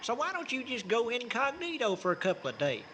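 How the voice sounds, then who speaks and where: Chatty small-town fella, folksy dialect, bright lively voice, old radio play. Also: male voice, extremely high pitch, fairly quick, slight background noise, heavily acted. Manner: folksy rural dialect, stammering. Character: folksy small-town man, easygoing and talkative. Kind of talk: old-time radio drama.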